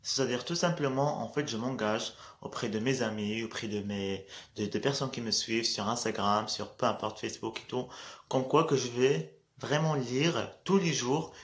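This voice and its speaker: Un jeune homme à l'marocain, dont l'arabe est la langue maternelle, qui parle en vlog motivant et décontracté, hésite souvent et répète « voilà ». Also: male voice, mid-range voice, fairly even intonation, normal speed. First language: Arabic. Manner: hesitant, restarts often, says "voilà" a lot, laughs between points. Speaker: vlogger.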